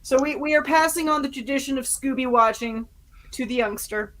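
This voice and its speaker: A woman chatting casually as a podcast panelist, telling stories in an animated, mock-stern way. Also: high-pitched, brisk. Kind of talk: casual chat.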